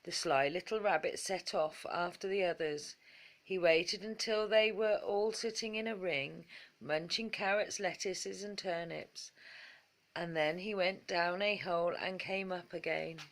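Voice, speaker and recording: Unhurried woman reading a bedtime story. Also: fairly low pitch. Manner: measured, animated storytelling. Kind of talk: expressive bedtime story narration.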